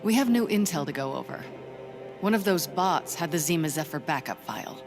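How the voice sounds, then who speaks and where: A composed, resolute spy in a video game. Her voice is low for a woman and she keeps it cool and matter-of-fact. Music plays clearly behind her. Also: expressive, brisk. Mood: focused, resolute. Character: composed, resolute female spy, warm toward her old lover. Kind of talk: cinematic video game acting.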